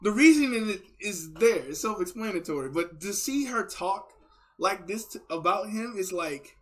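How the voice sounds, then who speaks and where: A man hosting a reaction video, giving excited, slangy, casual commentary and repeating words as he goes. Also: sits high, normal speed.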